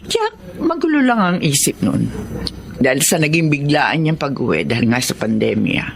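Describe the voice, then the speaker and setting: An actor playing an understanding, soothing family peacemaker in a radio drama. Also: male voice, a little high, sing-song, moderate pace, vocal fry, a little hiss or hum. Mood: placating. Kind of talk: Tagalog radio drama acting.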